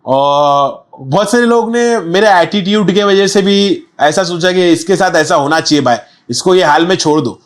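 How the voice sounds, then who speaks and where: A man's voice: high-pitched, lively intonation, moderate pace, hardly any pauses. A young man, a guest on a podcast, speaking candidly and reflectively in casual street slang. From India. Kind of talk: casual interview chat.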